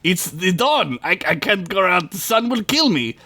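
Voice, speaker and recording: A man's voice: fairly high pitch, expressive, unhurried, creaky, sharp tone, no echo, heavily acted. A man voicing a drunk, pleading, melodramatic vampire in a comic story reading, with a pompous, whiny manner.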